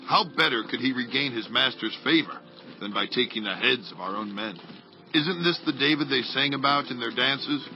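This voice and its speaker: An actor playing an angry, distrustful ancient army commander in a dramatized scripture reading. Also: male voice, medium pitch, lively intonation, normal speed, sharp tone, a little hiss or hum. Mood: angry, suspicious. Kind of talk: dramatized scripture audio acting. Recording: dull lo-fi audio, faint music.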